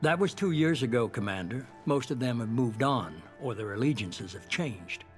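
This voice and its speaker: An actor playing a shadowy, calculating middle-aged leader in a sci-fi game, speaking with measured, composed authority. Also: male voice, medium pitch, expressive, soft background music, lightly acted. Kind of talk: cinematic sci-fi game dialogue.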